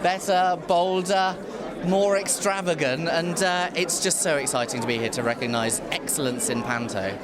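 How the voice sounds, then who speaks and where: An effusive man with a fairly high voice gives an enthusiastic interview. He stacks up emphatic lists as a busy crowd chatters loudly around him at a venue. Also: normal speed, heavy background noise. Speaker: industry association chairman being interviewed. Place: theatre venue during red carpet arrivals.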